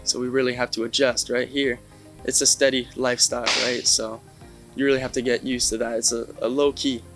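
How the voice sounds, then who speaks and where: A young man with a level, mid-pitched voice answers questions in a relaxed, conversational way. His delivery stays flat and even, and he keeps checking in with a casual "right?". Faint music plays softly behind him. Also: brisk. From Canada, Ontario. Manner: easygoing, tags many lines with "right?". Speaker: interview guest, baseball catcher.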